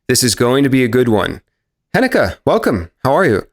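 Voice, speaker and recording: A man's voice: medium pitch, very animated, normal speed. A man hosting an interview podcast, asking friendly, upbeat questions. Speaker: podcast host.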